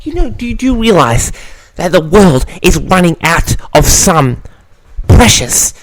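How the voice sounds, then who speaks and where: Animated, higher-voiced man hosting a Q&A. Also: measured pace, slight background noise, poor audio. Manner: theatrical, mock-grand, addressing listeners as "audience". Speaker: host of an audio log answering audience questions. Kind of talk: casual audience Q&A, reading questions aloud and answering.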